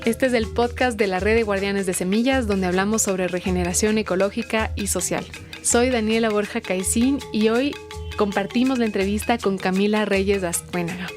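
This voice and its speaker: Woman, podcast host, mid-pitched voice, welcoming upbeat manner, soft background music. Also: normal speed. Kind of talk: scripted intro then interview questions.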